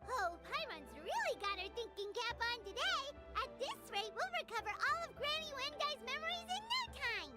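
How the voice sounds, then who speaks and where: A woman's voice: extremely high pitch, sing-song, moderate pace, few pauses, bright, forceful tone, full character voice. A woman voicing a small floating girl companion in a video game who speaks of herself in the third person, with game music behind. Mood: chirpy.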